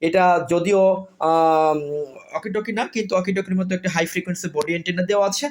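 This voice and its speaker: A man with a Bangladeshi accent giving an informal product sales pitch, repetitive and insistent, running through points in lists. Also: steady tone, fast, slight background noise. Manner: repetitive, insistent, with list-like phrasing. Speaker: product seller.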